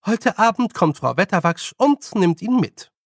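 A man voicing a brisk, bossy, good-humoured old woman witch with a loud, teasing and scolding manner, in an audiobook. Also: high voice, very animated, dry recording, clean studio sound, voice pushed up, exaggerated voice. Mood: practical. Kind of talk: audiobook character voice.

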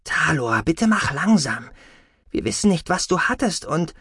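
A man's voice: on the high side, very animated, a little slow, a bit bright, husky, dry recording, studio quality, pitched above the performer's own voice, full character voice. A man voicing an anxious, bewildered sister who pleads in alarm with her brother. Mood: pleading.

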